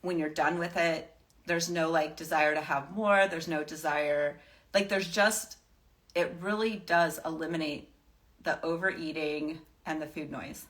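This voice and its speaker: A woman with a slightly low voice talks off the cuff, as if hosting a live chat for followers. She gives advice in an emphatic, reassuring way, often restating a point for weight. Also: steady tone, a little slow, a bit bright. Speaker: live stream host giving wellness advice.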